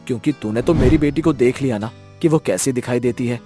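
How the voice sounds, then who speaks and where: Cartoon: man doing a humble worried dad, low, doubtful, soft music. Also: fairly quick, close to an everyday voice. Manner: doubtful, subdued. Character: worried, humble middle-aged father of a plain daughter.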